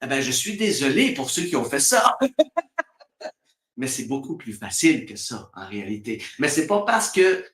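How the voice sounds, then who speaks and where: A man's voice: medium pitch, sing-song, unhurried, fairly bright tone, reverberant. A man from Quebec with a Québécois accent, talking casually as a guest on a live stream, laughing often and acting out quoted voices. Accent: Québécois French. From Quebec, Canada. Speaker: spiritual guest.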